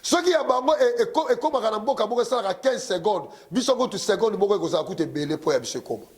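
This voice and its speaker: A man's voice: high voice, lively intonation, rapid-fire. Impassioned man rallying a crowd. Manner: impassioned, leads call-and-response chanting. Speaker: conference speaker. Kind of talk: rousing political speech.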